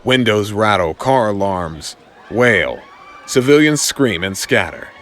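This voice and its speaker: Slow, animated male action narrator. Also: lowish voice, a faint crowd, slight background noise. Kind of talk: dramatic scripted audio comic narration.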